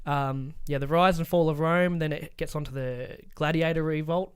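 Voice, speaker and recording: A man's voice: a little high, steady tone, normal speed, clean tone. A middle-aged man co-hosting a podcast in casual chat, blunt, enthusiastic and given to swearing. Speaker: podcast co-host.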